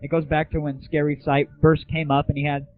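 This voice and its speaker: A man's voice: a little high, level tone, fairly quick. A young man hosting a casual gaming audio show, chatting and asking questions as an interviewer. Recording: tinny, phone-like, band-limited 1990s internet audio.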